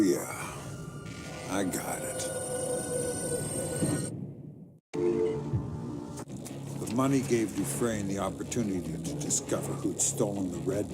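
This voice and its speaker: A man playing a hardened middle-aged undercover spy in a video game, terse, grim and deadpan. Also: sits low. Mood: grim, matter-of-fact. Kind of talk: dramatic video game acting. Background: loud music.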